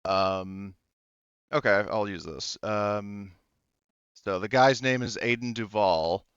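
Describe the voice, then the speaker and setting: A man narrating a tabletop roleplaying game as game master, casually improvising and haltingly feeling his way through the details. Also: on the low side, fairly bright tone, clean tone, no echo, lo-fi sound.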